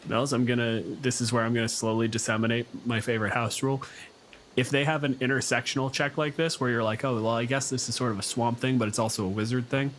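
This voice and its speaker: A man's voice: mid-range voice, steady tone, quick pace, a little hiss or hum. A man acting as game master, casually narrating a tabletop game in a descriptive, unscripted way. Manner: descriptive, casual, swears freely.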